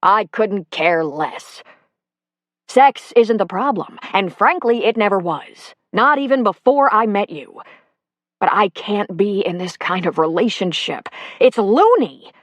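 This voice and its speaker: A woman's voice: mid-range voice, lively intonation, a little slow, no echo, natural voice. A woman reading the part of a fed-up middle-aged husband who is walking out, blunt and exasperated, swearing.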